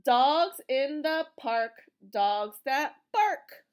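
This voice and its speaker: A woman's voice: sits high, expressive, extremely slow. A woman reading a picture book aloud to young children in an encouraging, sing-song teacher's manner, asking questions and drawing out short phrases.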